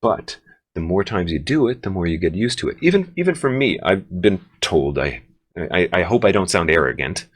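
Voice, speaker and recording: A man with a low, deep bass voice teaches in a conversational way, speaking deliberately and encouragingly as he thinks aloud. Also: big pitch swings.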